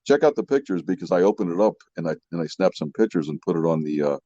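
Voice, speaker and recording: A man's voice: deep voice, sing-song, fast, dark tone. A man chatting casually and enthusiastically about vintage collectibles as a podcast co-host, with a folksy manner. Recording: dull, muffled lo-fi audio with room echo.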